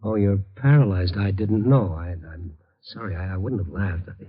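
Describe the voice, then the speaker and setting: An American actor playing a tough but kindly middle-aged reporter in 1950s radio noir, moving between terse narration and hesitant, gentle soothing. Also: male voice, on the low side, moderate pace, breathy edge. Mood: apologetic, soothing. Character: tough but kindly male night-beat reporter, troubled. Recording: muffled old radio recording.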